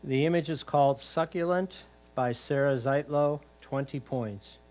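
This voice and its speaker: A man's voice: medium pitch, a little slow, darkish tone. A man reading out titles and scores at a competition in a formal, matter-of-fact way.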